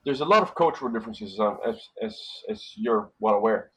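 A middle-aged man from Brazil, a hotel manager and podcast guest, telling relaxed stories with lots of fillers and tag questions. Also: lowish voice, fairly quick, slightly rough, lo-fi sound. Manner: relaxed storytelling with frequent fillers and "right?". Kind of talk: casual interview conversation.

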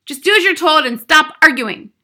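A woman voicing a gruff, bullying, impatient father in a storybook, snapping out orders. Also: sits high, lively intonation, normal speed, voice pushed up. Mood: angry, snapping. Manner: snapping, forceful, barking.

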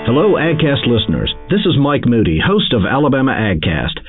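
A man's voice: medium pitch, wildly swooping pitch, fairly quick, over music. A man hosting a farm podcast, reading the show's scripted introduction. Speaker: podcast host. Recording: dry phone-like audio.

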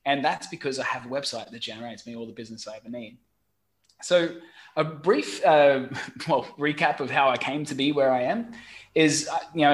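A middle-aged Australian man, a guest on a podcast call, talking frankly and with lively storytelling, salting it with mild swearing. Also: mid-range voice. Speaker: guest, website marketing expert. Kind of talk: casual podcast chat. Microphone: remote call.

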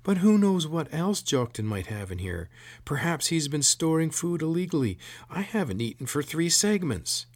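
A man voicing a shrill, whining, hungry corporal of the palace guard in a fantasy story. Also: very animated, normal speed, a little mellow, no echo. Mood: whining, greedy.

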